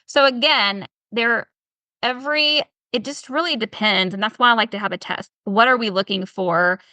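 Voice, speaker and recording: A woman with a Southern US accent, a health professional talking through a podcast interview on a video call, animated and firing off rhetorical questions. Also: fairly high pitch, normal speed, no room sound, poor audio. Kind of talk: casual podcast conversation.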